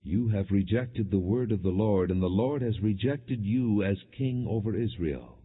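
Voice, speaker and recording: A man's voice: on the low side, a little slow, breathy edge. A man voicing a stern old prophet in a solemn, declamatory audiobook reading of scripture. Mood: stern rebuke. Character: stern old male prophet rebuking a king. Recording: muffled, phone-like narrow-band audio.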